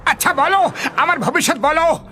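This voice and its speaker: Excitable cartoon bumbler, extremely high-pitched, earnest, exclamatory, faint music behind. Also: male voice, moderate pace, exaggerated voice. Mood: eager. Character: naive, eager, helpful cartoon man, bumbling and excitable. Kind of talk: animated cartoon dub acting.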